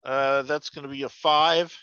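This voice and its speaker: A man's voice: medium pitch, flat delivery, unhurried, pushed and bright, clear, resonant tone, dry recording. A man playing a tabletop game, casually calling out rolls and moves, in a slightly muffled, dry recording.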